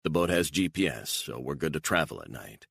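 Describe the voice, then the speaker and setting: A cool, controlled assassin who keeps every line short and firm, as a man plays him. His voice sits extremely deep and level. The sound is close and dry. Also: lively intonation, brisk, creaky, fairly bright tone, breathy edge, no echo, heavily acted. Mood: steady. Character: calm, hard male assassin, controlled.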